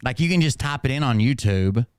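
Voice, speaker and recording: A man with a nasal voice, hosting a livestream and making dry, teasing comments. His voice comes through smooth and clean, recorded close in a dead, dry space. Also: medium pitch, lively intonation, a little fast, no room sound. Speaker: livestream host. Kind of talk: casual reaction commentary.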